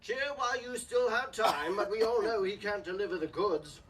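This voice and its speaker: A man's voice: sits high, moderate pace, crowd noise, lightly acted. A man acting a sly, pompous villain in hammy radio melodrama, savoring alliteration and taunting all who hear him. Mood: smug gloating. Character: sly gloating melodrama villain, male landlord of a tavern. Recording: distant stage microphone, noisy room.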